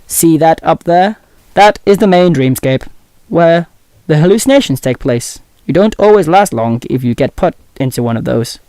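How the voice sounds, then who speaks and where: A man's voice: on the high side, expressive, normal speed, darkish tone, no echo, natural voice. A man as a serious android, dry recording. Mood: grave. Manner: informative, serious. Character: matter-of-fact male android ally explaining grim events. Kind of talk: audio drama acting.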